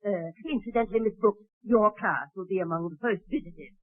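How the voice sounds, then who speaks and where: Anxious, very high-voiced school principal. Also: male voice, a little fast. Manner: polite, fretful. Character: anxious, fussy middle-aged male high school principal. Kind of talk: 1940s radio comedy acting. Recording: narrow muffled 1940s radio recording.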